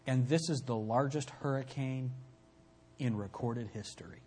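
A man preaching a sermon from the pulpit, emphatic, swinging from loud peaks to low, quiet lines. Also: measured pace, slight background noise. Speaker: pastor.

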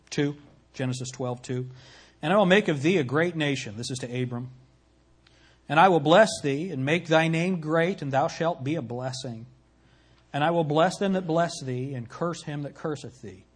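A man preaching a sermon from the pulpit, emphatic, swinging from loud peaks to low, quiet lines. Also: normal speed, husky. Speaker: pastor.

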